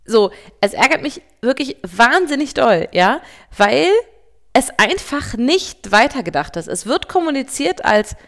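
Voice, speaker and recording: A woman in her thirties co-hosting a podcast as a parent, talking fast and getting worked up, sometimes turning sarcastic. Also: sits high, sing-song. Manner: fast, agitated, sarcastic when upset. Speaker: podcast co-host. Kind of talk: casual podcast chat.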